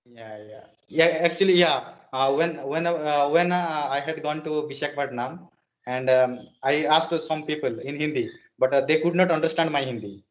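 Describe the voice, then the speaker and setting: A man from Odisha, India, with an Odia accent and Odia as his native language, chatting casually in halting, repetitive English over a lo-fi call. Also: a little high. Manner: halting, deliberate, repeats phrases. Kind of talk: casual chat. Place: video call. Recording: dull lo-fi video call.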